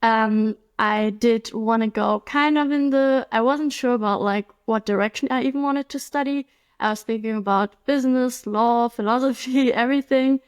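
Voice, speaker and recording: A woman's voice: a little high, normal speed, smooth. A woman in her twenties from Germany, a guest telling her story in a casual interview, chatty, with frequent ums and little laughs. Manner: chatty, laughs often, many ums and likes.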